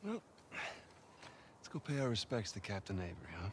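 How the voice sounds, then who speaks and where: An actor playing a teasing, easygoing treasure hunter. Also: male voice, on the low side, sing-song, normal speed, background noise. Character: teasing older-brother male treasure hunter.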